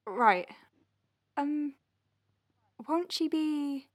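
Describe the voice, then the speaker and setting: A woman's voice: sits high, lively intonation, drawn-out words, full character voice. An actress playing an awkward, earnest young woman doctor in a naturalistic audio drama, hesitant and prone to nervous stammering. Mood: anxious, hesitant. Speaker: lead actor in an audio drama podcast.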